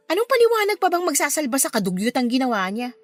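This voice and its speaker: An actress plays a hurt young woman with a Filipino accent in an audio drama. Her voice sits fairly high and sounds plaintive and aggrieved. Soft music runs behind her. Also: big pitch swings, fast talker. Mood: aggrieved recounting. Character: hurt young woman confiding about cheating boyfriend.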